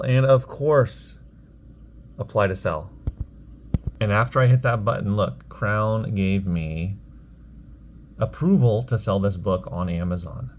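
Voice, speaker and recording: A man chatting casually in a vlog about his own work, offhand and thinking aloud as he goes. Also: fairly low pitch. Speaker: online reseller and bookstore owner, vlog host. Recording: clear background noise.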